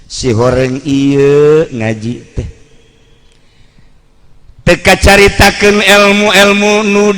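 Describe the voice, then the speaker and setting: A man's voice: sits high, pushed and bright. A man with a Sundanese accent preaching through a folk tale, in an earthy, blunt storytelling way that acts out the dialogue. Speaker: Islamic preacher.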